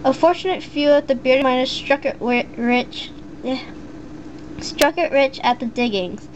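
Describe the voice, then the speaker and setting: High-voiced shy teen girl, hesitant. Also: unhurried, lo-fi sound, a little hiss or hum. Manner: hesitant, many fillers and restarts. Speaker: vlogger answering a voice meme. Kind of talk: casual chat and halting reading aloud.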